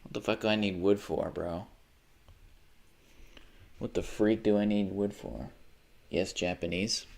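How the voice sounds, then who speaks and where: A man's voice: fairly low pitch, fairly even intonation, unhurried, gravelly, long pauses, darkish tone. A young man livestreaming a video game, rambling casually, swearing often and laughing at his own jokes. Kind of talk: casual live gaming commentary.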